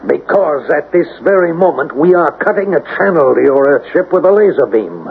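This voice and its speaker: An American actor playing a firm, skeptical army general in a radio drama, with brisk, authoritative military delivery on an old broadcast. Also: male voice, a little high, very animated, creaky. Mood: stern, threatening. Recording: noisy, narrow-band old radio broadcast.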